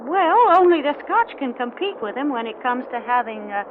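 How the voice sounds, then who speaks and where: A woman's voice: high-pitched, expressive, fairly quick. A young woman playing an earnest, refined heiress in an old-time radio mystery. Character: earnest, anxious young heiress in a haunted mansion. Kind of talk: 1930s radio acting. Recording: muffled, noisy old radio recording.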